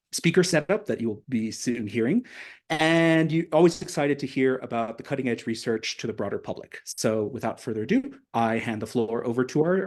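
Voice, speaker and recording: A man in his thirties moderating an online science event, chatty with teasing asides. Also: mid-range voice.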